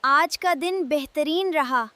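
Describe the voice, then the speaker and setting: A woman's voice: high voice, noisy background, natural voice. A woman voicing a cheerful, helpful young boy vegetable in a children's cartoon, with an earnest, encouraging manner. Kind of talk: children's cartoon moral story dialogue.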